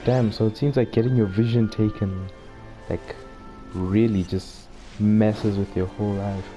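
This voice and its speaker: Chill gamer guy commentary, super mellow dark voice, rambly informal, faint music. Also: lowish voice, steady tone, unhurried. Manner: loose, informal, filler-heavy musing. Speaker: gaming video host. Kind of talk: casual game playthrough commentary.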